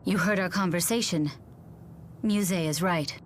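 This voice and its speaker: A woman voicing a gentle, composed elemental spirit in a video game, polite, measured and formal. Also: lowish voice, slight background noise, lightly acted. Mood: resigned, courteous.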